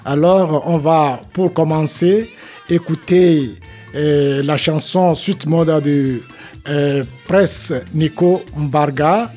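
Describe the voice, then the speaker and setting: A man hosting a community radio show, introducing guests and songs slowly with frequent hesitations. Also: on the high side, fairly even intonation, warm tone, faint music behind. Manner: slow, many "euh" fillers. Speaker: radio host.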